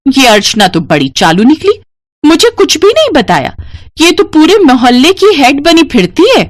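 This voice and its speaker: A jealous elder sister-in-law in a family story, acted by a woman. She is pitched well above the narrator's voice. Her manner is catty, nosy and falsely sweet. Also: sing-song, normal speed, dry recording, studio quality. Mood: resentful.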